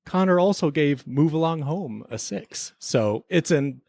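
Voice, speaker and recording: A man's voice: on the high side, lively intonation, a little slow, vocal fry, a little mellow, dry recording. Guy host bantering in a comedy audio drama, close dry recording. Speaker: host, performer in a comic podcast drama. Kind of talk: conversational comic radio drama.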